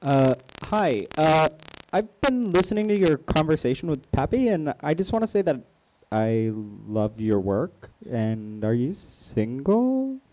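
Radio play caller: an awkward male fan, soft dark tone, animated and gushing. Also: a little high, a little slow, poor audio. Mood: nervous, flirty.

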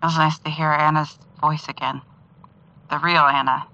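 A woman's voice: sits low, flat delivery, moderate pace, pushed and bright, dull audio. A woman acting in an audio drama as a tender, grateful partner. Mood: grateful, subdued.

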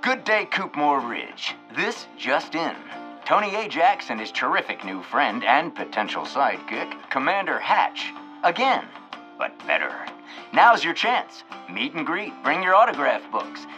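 An actor playing a showy small-town radio announcer delivering punchy bulletins. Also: male voice, fairly high pitch, sing-song, measured pace, over music.

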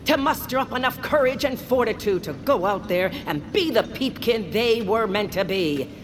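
A woman voicing a gruff, weary small creature boss in a comic audio drama, blustery and folksy in speech. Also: a little high, lively intonation, moderate pace, noisy background, lightly acted. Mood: rousing.

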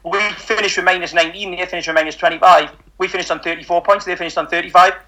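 A man's voice: high-pitched, fairly even intonation, very fast, pushed and bright. A man with a Geordie accent on a football podcast, cutting in eagerly and backing up his point with numbers. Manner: jumps in eagerly, argues his case with figures. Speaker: podcast co-host. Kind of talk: casual football podcast chat.

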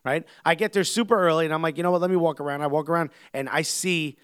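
A man's voice: a little high, fast, smooth, no echo, clean studio sound. A man from New York, a podcast host, telling stories in casual chat with lots of laughter. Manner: animated storyteller, laughs a lot.

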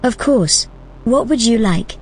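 A woman playing a calm, helpful, gently teasing artificial intelligence in a naturalistic audio drama. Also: on the high side, takes it slowly, soft background music. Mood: obliging, playful. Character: calm, obliging, playful female artificial intelligence.

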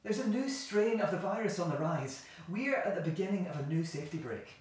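An actress in an audio play voicing an earnest, reflective idealist who speaks in measured, thoughtful argument. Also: female voice, on the low side, lively intonation, darkish tone, close to an everyday voice. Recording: very echoey room, far from mic.

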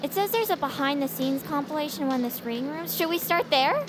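Casting: easily impressed fangirl, very animated intonation, enthusiastic and casual over music. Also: high-pitched, fast talker. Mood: curious, impressed. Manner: enthusiastic, agreeable. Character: wide-eyed, easily impressed young female fan. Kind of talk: audio drama, casual banter.

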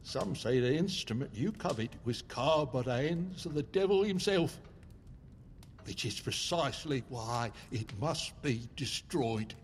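An actor playing a very old, haunted survivor, telling his tale gravely and theatrically in an audio drama. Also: mid-range voice, lively intonation, unhurried, a little hiss or hum. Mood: grave, resolved. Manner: grave, theatrical, emphatic storytelling. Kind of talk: dramatic audio fiction narration. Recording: music behind the voice.

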